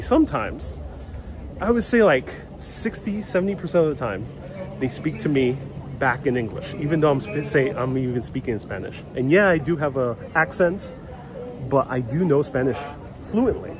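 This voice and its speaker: A man's voice: on the high side, animated, normal speed. A man from New York of Puerto Rican heritage, chatting loosely as a live-stream travel host outdoors, with many restarts. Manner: rambling, conversational, many restarts. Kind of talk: casual live-stream chat. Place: outdoors on a city street. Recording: street noise and traffic behind.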